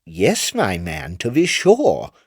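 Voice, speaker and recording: A man playing a patronising, measured naval Admiral. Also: low-pitched, wildly swooping pitch, slightly rough, no echo, studio quality, heavily acted. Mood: patronising disbelief. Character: dismissive, patronising male Admiral of King George's navy. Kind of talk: dramatic storybook reading.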